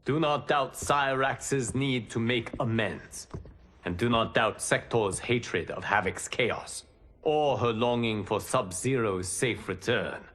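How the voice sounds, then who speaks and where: An actor playing a calm, wise guardian god in a video game, speaking formally with measured authority. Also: male voice, mid-range voice. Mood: firm, advising. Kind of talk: video game cutscene acting.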